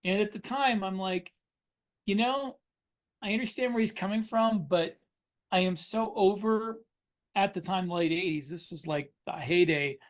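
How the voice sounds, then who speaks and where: Male, middle-aged, high-set voice, casual podcast co-host, explanatory storyteller. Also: measured pace. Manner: anecdotal, explanatory. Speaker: podcast co-host and science fiction author. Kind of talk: casual conversational discussion.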